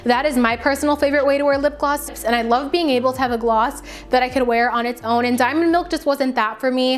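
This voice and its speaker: A woman with a fairly high voice talks casually to camera as a beauty vlogger. She reviews products in a chatty, conversational way and leans on words for emphasis. Her voice carries a slight raspy tiredness from a long stretch of talking.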